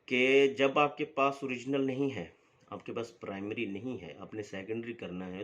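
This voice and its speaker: A man giving a law lecture as an advocate, explaining step by step with many fillers and reading legal text aloud slowly. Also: on the low side, a bit bright.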